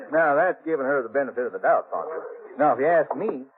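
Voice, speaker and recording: An actor playing a cocksure, folksy Old West sheriff with an American accent in a radio Western. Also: male voice, mid-range voice, a little fast, close to an everyday voice. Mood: mocking. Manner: folksy, mocking, chuckles often. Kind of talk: 1950s Western radio acting. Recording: tinny, noisy old radio recording.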